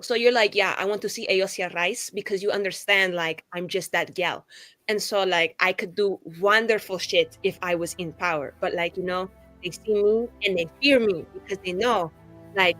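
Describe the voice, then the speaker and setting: A woman acts out a brash young female mage who sees herself as a great revolutionary. The voice is bright and forceful, theatrical yet slangy, the temper blunt and troublemaking. Faint music plays behind this tabletop game character. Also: mid-range voice, lightly acted, poor audio. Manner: theatrical, self-important, casual slangy phrasing.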